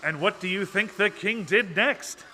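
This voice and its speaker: A man narrates a children's puppet show with bright, forceful projection and a slow, deliberate pace. His delivery is theatrical and emphatic, recorded in a reverberant hall. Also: high voice. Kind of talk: animated storybook narration for children. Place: social hall, live before an audience. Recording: echoey social hall with noise.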